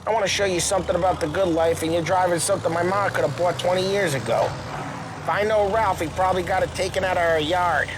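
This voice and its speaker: A man's voice: high-pitched, fast, background noise, close to an everyday voice. An actor voicing a cocky, wisecracking 1930s American gangster in a video game, with slangy, teasing tough-guy talk. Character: brash wisecracking 1930s gangster named Paulie, man. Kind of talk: video game dialogue acting.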